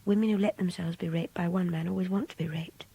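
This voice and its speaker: An actress playing a young kidnapped Englishwoman in a radio drama, confiding her fear and contempt in a hushed, breathy, intimate diary monologue. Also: on the low side, steady tone, fast. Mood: troubled, loathing. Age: young adult. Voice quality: breathy, often near-whispered, gravelly edge. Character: young kidnapped woman captive, frightened, contemptuous, passionate, intense.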